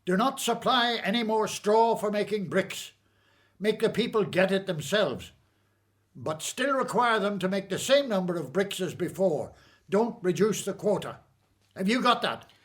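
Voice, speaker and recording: An actor playing a haughty, irritable Egyptian Pharaoh in a radio drama, imperious and dismissive. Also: male voice, high-pitched, animated, a little slow, fairly bright tone. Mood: angry, commanding.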